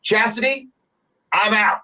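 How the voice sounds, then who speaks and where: A man hosting a show, wrapping up a guest segment in an upbeat, brisk way. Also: high-pitched, sharp tone. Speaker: show host. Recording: distant mic in played-back clip.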